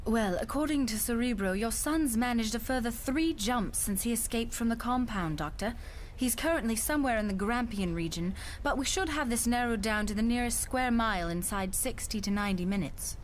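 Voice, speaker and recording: A woman's voice: a little high, expressive, normal speed, a little hiss or hum, close to an everyday voice. A woman playing a cocky, teasing British intelligence colonel in a motion comic, with a dry manner. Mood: teasing, confident.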